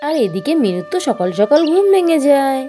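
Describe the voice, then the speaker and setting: High-voiced animated woman storyteller. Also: fairly quick, soft background music. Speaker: narrator and host. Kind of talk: children's doll-play story narration.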